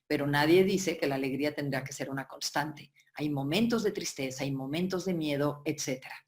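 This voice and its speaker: A woman's voice: low voice, brisk. A woman from Barcelona, giving a webinar lecture as a workshop facilitator, explaining at length and often checking in with her listeners. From Barcelona, Spain. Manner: explanatory, with frequent check-ins and filler "eh".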